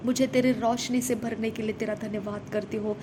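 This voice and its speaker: A woman leading a fervent spoken prayer, repeating thanksgiving phrases in a steady, chant-like rhythm. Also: brisk. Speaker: prayer minister. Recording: noisy recording with low rumble.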